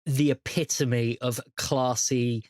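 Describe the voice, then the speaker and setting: A man's voice: medium pitch, steady tone, slow, darkish tone. A man with a British accent making wry, casual table talk as the game master of a roleplay session.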